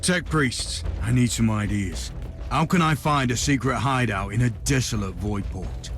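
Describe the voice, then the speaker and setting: A man's voice: medium pitch, music in the background, natural voice. An actor playing a commanding male Inquisitor in a video game, stern and dryly sardonic, with an authoritative delivery. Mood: stern, probing. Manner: authoritative, dry, deliberate. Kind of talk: video game cutscene acting.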